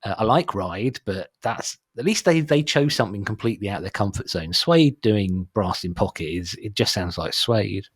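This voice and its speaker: Middle-aged male podcast host, husky and fairly low, dry close sound, wry and offhand. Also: lively intonation, moderate pace, few pauses, no room sound. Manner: wry, dismissive asides on cover songs. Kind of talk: casual chat.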